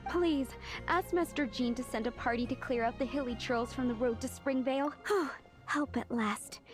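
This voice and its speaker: Earnest village woman voiced by an actress, high voice, polite and fretful, game dialogue over music. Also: normal speed, close to an everyday voice. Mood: relieved, hopeful. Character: polite, earnest townswoman, fretful and eager for help. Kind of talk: anime video game voice acting.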